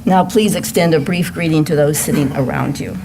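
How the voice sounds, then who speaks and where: Woman, low voice, worship associate, measured formal announcements, live service recording, faint room noise. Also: vocal fry. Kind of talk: welcome and announcements to a congregation, partly read.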